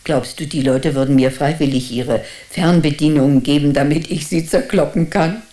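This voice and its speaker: A woman's voice: low voice, moderate pace, mellow, close to an everyday voice. An older woman playing a wry, eccentric village grandmother in a radio drama, with chatty, hesitant interjections. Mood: wry, defiant.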